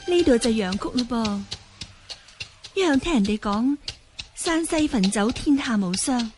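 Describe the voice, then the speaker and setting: A woman's voice: on the high side, expressive, a little slow, music in the background. A woman acting a polite, spirited young swordswoman in a Cantonese radio drama, with courteous theatrical delivery. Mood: curious, musing.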